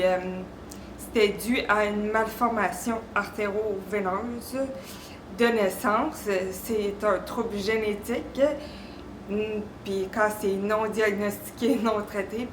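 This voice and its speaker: A Québécois woman in her thirties shares a personal testimony as a panelist. She goes very slowly and haltingly, leaving gaps between phrases. The room is echoey, with faint noise behind her. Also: medium pitch, fairly even intonation. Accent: Québécois French. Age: 30s. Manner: halting, with long pauses from aphasia. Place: echoey room before live audience. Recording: roomy echo, faint background noise.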